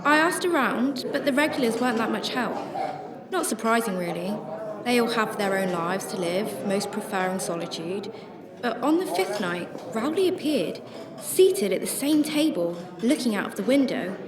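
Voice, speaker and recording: A woman's voice: on the high side, expressive, normal speed, crowd noise, close to an everyday voice. A woman acting a troubled, secretive shelter worker who narrates her story in a radio drama, emotive and hesitant in dialogue. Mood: reflective. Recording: roomy sound with music behind.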